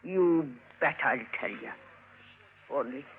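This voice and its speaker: An actress playing a feisty, rough-tongued old housekeeper in an old-time radio drama, blustering and gushing with folksy, uneducated diction. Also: female voice, low-pitched, animated, slow, phone-quality sound, heavily acted. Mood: anxious, pleading. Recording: noisy, band-limited vintage radio recording.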